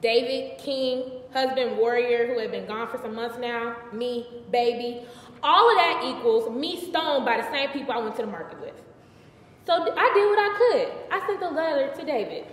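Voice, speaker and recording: A woman's voice: fairly high pitch, animated, moderate pace, close to an everyday voice. A young woman performing a live theatrical monologue as an indignant, wry biblical queen, conversational and emphatic. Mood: anxious. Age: early 20s. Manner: conversational, sassy, emphatic, exasperated. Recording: distant mic, echoey hall, faint noise.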